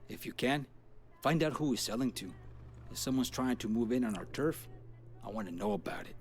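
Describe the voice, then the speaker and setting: A man's voice: mid-range voice, lively intonation, fairly quick, soft background music, lightly acted. Man playing tough gang boss. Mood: cool, menacing. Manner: street slang, tough talk. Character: tough, vengeful male street gang boss. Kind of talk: scripted video game dialogue.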